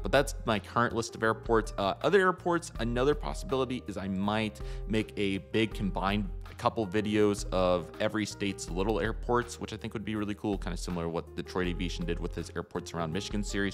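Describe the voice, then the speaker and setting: A man's voice: lowish voice, brisk. An American man hosting a hobby channel, giving an upbeat, conversational voice-over in a casual vlog style. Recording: music behind the voice.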